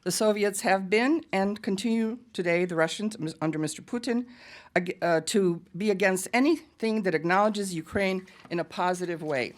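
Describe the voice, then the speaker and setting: A woman's voice: medium pitch, moderate pace. An American woman giving an emphatic, earnest prepared speech at a press club podium. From United States. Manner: earnest and emphatic, with pointed asides. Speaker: guest speaker at a press event.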